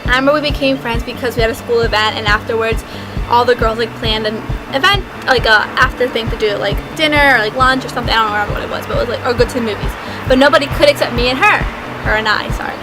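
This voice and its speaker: A woman's voice: fairly high pitch, quick pace, rough recording. A young American woman telling a casual storytime, chatty and full of "like", acting out her past self. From United States. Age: young adult. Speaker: vlogger. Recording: loud background music.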